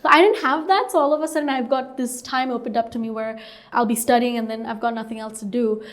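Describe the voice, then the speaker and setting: A woman's voice: on the high side, fairly even intonation, quick pace. A young woman from Hong Kong, a startup co-founder, telling her story earnestly in an interview with many "like"s and "you know"s. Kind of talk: interview answers.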